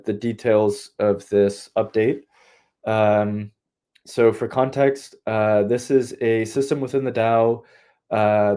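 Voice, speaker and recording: A congested man with a slightly nasal voice, filling in as host of an online community town hall and giving casual updates over a video call. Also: lowish voice. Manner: relaxed, conversational, easygoing.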